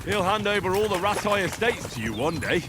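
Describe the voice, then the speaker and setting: Young bodyguard, game role: cheerful, plain-spoken, high pitch, noisy ambience. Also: male voice, big pitch swings, a little fast. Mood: agreeable. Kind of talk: video game voice acting.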